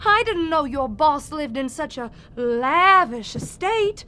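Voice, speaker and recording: Woman playing a tactless prim wife, very high pitch, slow drawl, comedy radio play. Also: animated, pushed and bright, a little hiss or hum, full character voice. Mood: prim chatter.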